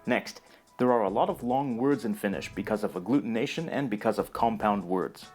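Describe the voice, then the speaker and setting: A man narrating a scripted educational video in a calm, even, explanatory way. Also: fairly low pitch, fairly quick, faint music behind.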